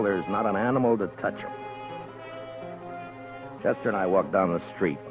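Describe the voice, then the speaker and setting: A man with an American accent playing a weary, terse frontier marshal who narrates deliberately in a 1950s radio drama. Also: low-pitched. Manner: laconic, deliberate. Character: weary, terse frontier lawman narrating his own story. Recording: muffled old radio recording with music.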